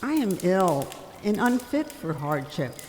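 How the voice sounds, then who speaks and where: A woman's voice: on the low side, sing-song, a little slow, darkish tone. A woman in a dramatic story reading, playing an ailing but helpful wealthy Magus. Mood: apologetic, helpful. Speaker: amateur church reader. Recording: echoey hall reverb with background noise.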